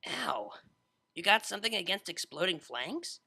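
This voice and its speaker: A brash young female DJ pony from a storybook played by a man, with a bright, creaky voice. The delivery is slangy, excitable and very animated. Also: high-pitched, moderate pace, voice pushed up, heavily acted. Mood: brash, defensive. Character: brash, laid-back young female unicorn DJ. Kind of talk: fan fiction audiobook character voice.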